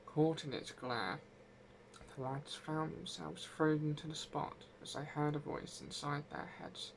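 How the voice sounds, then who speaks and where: A man's voice: on the high side, steady tone, a little slow, vocal fry, slight background noise, low-quality recording. A man narrating a folk tale as an ASMR storyteller, with dramatic, suspenseful delivery.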